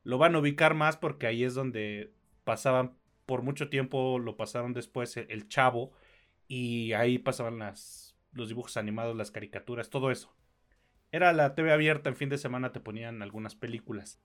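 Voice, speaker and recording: Man, Mexican accent, mid-pitched voice, casual film review chat, informal and slangy. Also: brisk, a bit bright, soft background music. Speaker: podcast co-host.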